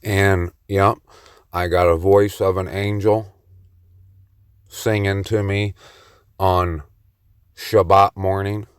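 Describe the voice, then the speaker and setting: Male vlogger, low and unhurried, clear close dry voice, cocky rambling brag. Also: little pitch movement, no echo. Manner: boastful and playfully cocky; slow, meandering delivery. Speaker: solo vlogger on the mic. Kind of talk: rambling self-recorded monologue.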